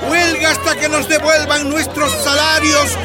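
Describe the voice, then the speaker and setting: An actor playing a defiant miners' union leader rallying comrades in a radio drama. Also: male voice, very high voice, completely flat delivery, normal speed. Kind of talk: radio drama acting, oratory. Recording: music and crowd behind.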